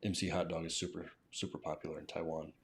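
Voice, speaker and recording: A man with an American accent and a very low voice talks through language questions on a live stream. He speaks casually, working out his thoughts as he goes and adding little checking tags. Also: little pitch movement, fast, creaky. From southern United States. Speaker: language teacher hosting a livestream.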